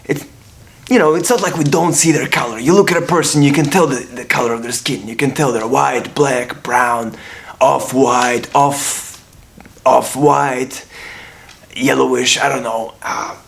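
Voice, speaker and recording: A man's voice: medium pitch, steady tone, a little slow, vocal fry, a bit bright. A man in his twenties from Russia with a Brooklyn accent, talking casually to camera in a rambling way, repeating himself and restarting often. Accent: Brooklyn New York. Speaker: vlogger.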